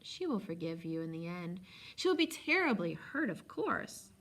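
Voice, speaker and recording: A woman's voice: fairly low pitch, very animated, moderate pace, fairly bright tone, exaggerated voice. A woman voicing a polite, sly, coolly indifferent old man selling potions in a storybook reading, with precise, insinuating salesman's patter. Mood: knowing, persuasive. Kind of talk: storybook read-aloud.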